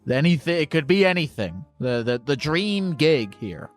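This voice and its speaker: A man hosting a call-in show, teasing his caller with profane, quick-fire banter. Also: a little high, big pitch swings, faint music behind. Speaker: host.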